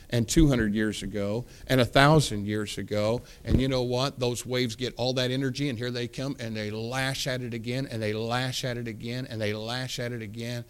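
A man's voice: mid-range voice, a bit bright. A man in his forties preaching a sermon, telling a folksy personal story and building to emphatic points. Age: 40s.

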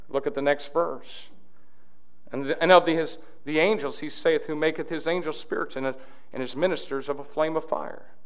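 A man pastor preaching an impassioned sermon, swinging from quiet, intimate passages to loud, shouted declarations. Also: mid-range voice. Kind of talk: fiery sermon.

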